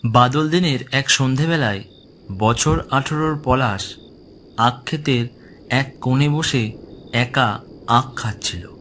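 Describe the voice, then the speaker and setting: Slow, dramatic male horror narrator. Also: mid-range voice, fairly bright tone, a little hiss or hum. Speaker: story narrator. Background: music.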